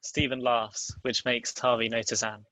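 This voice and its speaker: Bright-voiced male narrator, very dry sound. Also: medium pitch, flat delivery, normal speed, no room sound, rough recording. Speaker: narrator reading stage directions. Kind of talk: scripted narration at table read.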